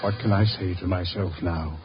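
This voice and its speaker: A man's voice: low-pitched, steady tone, moderate pace, over loud music, lightly acted. An actor playing a troubled, genteel middle-aged father in a 1950s radio drama. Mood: despairing, defensive. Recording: dull, noisy 1950 radio recording.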